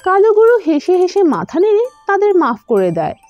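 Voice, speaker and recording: High-voiced, lively woman storyteller. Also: normal speed, no echo, muffled recording, faint music behind. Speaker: storyteller, narrator. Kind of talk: scripted narration of children's cartoon fables.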